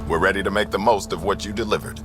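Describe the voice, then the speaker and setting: An actor playing a gruff, plain-spoken frontier outpost chief in a video game, talking in clipped phrases. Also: male voice, low voice, fast, music in the background, natural voice. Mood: determined. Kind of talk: video game dramatic dialogue.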